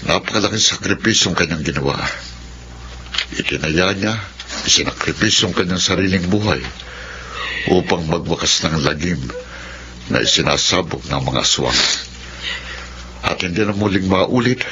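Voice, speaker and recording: A man's voice: deep voice, animated, moderate pace, pauses often. A Filipino man playing a devout, consoling mourner in a radio drama, solemn and earnest. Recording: dull, faint background noise.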